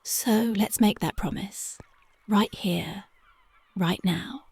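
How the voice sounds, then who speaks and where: A dreamy young punk girlfriend from an ASMR roleplay, voiced by a woman. Her voice is gravelly and husky, and her words come unhurried and soft. She keeps sinking into close, devoted whispers. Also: mid-range voice, no room sound. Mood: tender, dreamy. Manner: soft, often drifting into whispers. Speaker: ASMR voice actress.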